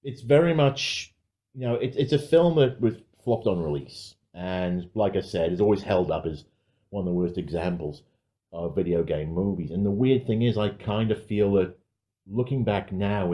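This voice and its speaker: A man's voice: deep voice, moderate pace, highs cut off. A man giving casual review commentary as a podcast panelist, with a wry, dry humour.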